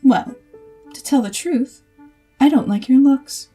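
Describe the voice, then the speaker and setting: Actress as snooty rich young lady in an audio play, breathy and really mellow, kinda high, cold and disdainful. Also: slow pace, faint music behind, exaggerated voice. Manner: disdainful, airy. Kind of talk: storybook audio drama acting.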